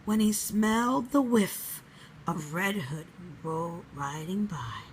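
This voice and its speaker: A woman narrating a children's storybook in a measured, expressive way. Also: on the low side, slightly rough, poor audio.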